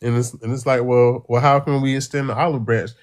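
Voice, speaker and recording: Man caller talking in halting restarts. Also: mid-range voice, fairly even intonation. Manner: halting, many restarts and fillers, earnest. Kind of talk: casual opinion on a call.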